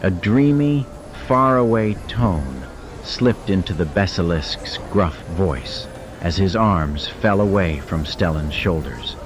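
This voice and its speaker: A man's voice: low voice, animated, takes it slowly, noisy background. A man giving dramatic scripted narration of a fiction audiobook. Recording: studio narration over cinematic music.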